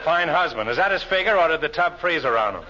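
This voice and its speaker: A man's voice: on the high side, lively intonation, fast talker, lightly acted. An actor playing a brash, glib insurance salesman and comic schemer in 1940s radio comedy style. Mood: wisecracking. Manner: glib, wisecracking patter. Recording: noisy, muffled old radio recording.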